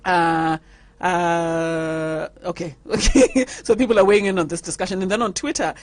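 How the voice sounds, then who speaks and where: A woman's voice: on the low side, fairly even intonation, moderate pace, no room sound. A woman hosting a radio panel discussion, reading out listener messages and laughing often. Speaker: radio talk show host.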